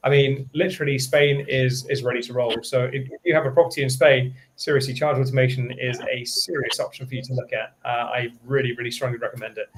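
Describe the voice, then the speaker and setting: A man co-presenting a software webinar, emphatic and full of recommendations, often repeating words for stress. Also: medium pitch, steady tone, fast, hardly any pauses, slight background noise, poor audio. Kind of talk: enthusiastic product webinar talk.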